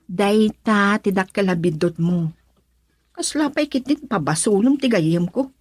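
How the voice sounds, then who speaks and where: An actress plays a frank woman who stands up for her friend in a radio drama. Her Ilocano-accented voice is somewhat husky, and the sound is dry and close. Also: mid-range voice, a little slow, no echo. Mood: reproachful. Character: woman scolding her best friend's wavering boyfriend.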